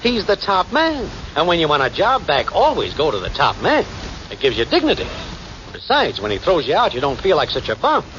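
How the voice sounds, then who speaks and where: A muffled, noisy vintage radio recording of a smooth-talking small-time operator. His pitch leaps around dramatically. He is slangy, self-assured and full of wisecracks in 1940s comedy style. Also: wildly swooping pitch, fairly quick, a bit bright. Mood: breezy, scheming.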